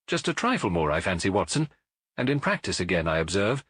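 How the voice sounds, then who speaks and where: A man's voice: low voice, big pitch swings, a little fast, fairly bright tone, breathy edge, no echo, studio quality, exaggerated voice. A man voicing a brilliant, aloof detective in an audiobook, precise and self-assured, with clipped delivery and cool amusement. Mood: coolly amused.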